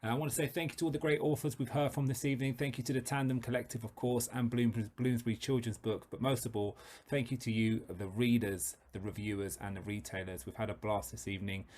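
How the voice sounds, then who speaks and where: A man's voice: mid-range voice, fairly even intonation, quick pace, hardly any pauses, darkish tone. A man hosting an online book event, giving upbeat, grateful closing thanks. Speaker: event host.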